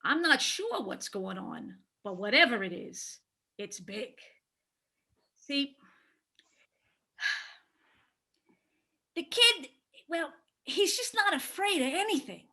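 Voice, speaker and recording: Woman as a scheming hungry monster, high bright voice, drawn-out delivery, theatrical, stage reading. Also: very animated, full character voice. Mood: uneasy, coaxing. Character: sly, hungry scheming trunked monster feeding on fear. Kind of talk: dramatized livestream play reading.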